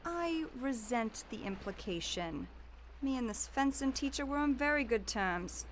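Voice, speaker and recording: Cocky thief woman, fairly high voice. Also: animated, a little slow. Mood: cocky, indignant. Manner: bragging, combative. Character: cocky, drunken young female thief swordswoman. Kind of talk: audio drama acting. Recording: faint background ambience noise.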